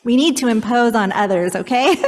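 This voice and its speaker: A woman's voice: a little high, noisy background. A woman poet giving a thoughtful panel talk, with wry asides and self-corrections. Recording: long hall reverb.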